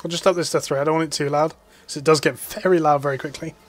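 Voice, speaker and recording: Casual male gaming host, slightly high, rambling, light music under. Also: steady tone, fast. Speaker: gaming youtuber. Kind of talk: casual gameplay commentary.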